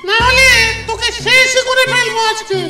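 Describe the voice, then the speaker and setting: Woman voicing a shrill vengeful ghost. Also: steady tone, moderate pace, bright, forceful tone. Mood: menacing threats. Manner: menacing, threatening. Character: vengeful male ghost, a dead young brother. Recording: distant voice with music behind.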